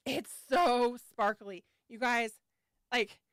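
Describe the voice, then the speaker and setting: A woman hosting a livestream talks in a fairly high, smooth voice, slightly nasal from a lingering head cold. She rambles along in a chatty, scattered way, laughing and swearing casually. The sound is close and dry. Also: fairly bright tone, no echo. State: getting over a head cold. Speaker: yarn shop owner hosting a launch livestream.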